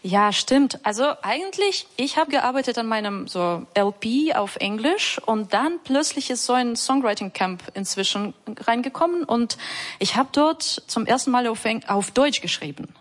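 Woman, fairly high voice. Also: animated, moderate pace. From Ukraine. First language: not German. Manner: enthusiastic, slightly broken German with fillers. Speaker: musician guest. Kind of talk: radio interview.

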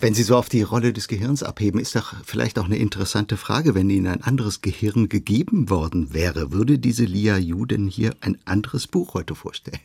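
A man's voice: on the low side, a little fast, vocal fry, hardly any pauses, a little mellow, slightly rough, no room sound. A man interviewing a guest, putting pointed and challenging questions to her.

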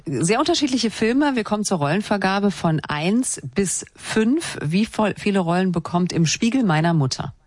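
A woman's voice: mid-range voice, expressive, normal speed, few pauses, a little mellow, dry recording, studio quality. A woman presenting on the radio in a conversational style, introducing films and putting questions as host.

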